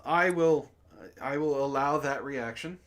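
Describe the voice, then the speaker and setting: A man's voice: medium pitch, unhurried, a bit bright. A man hosting a read-aloud, narrating casually and breaking off for sardonic, profane asides. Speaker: book club host reading a story aloud with commentary.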